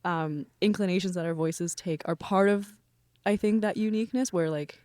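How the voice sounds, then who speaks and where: A woman singer in a band, talking relaxed and rambling in a casual interview. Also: fairly low pitch, moderate pace, dry recording, studio quality. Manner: relaxed, rambling with many fillers. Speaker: band member, vocalist.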